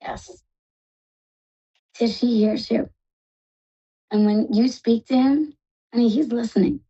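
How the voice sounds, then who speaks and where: New York woman, live psychic call-in host, quick and affectionate, soft dark voice. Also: on the high side, husky, muffled recording. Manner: fast-talking, reassuring, affectionate.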